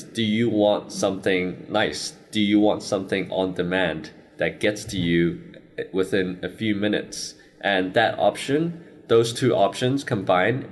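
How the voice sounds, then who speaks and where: A young man from China and Hong Kong answering interview questions conversationally, pausing mid-sentence to find his words. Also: low-pitched. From born in China, early childhood in Hong Kong, then US. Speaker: interview guest, business expansion manager. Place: sit-down interview in Shenzhen.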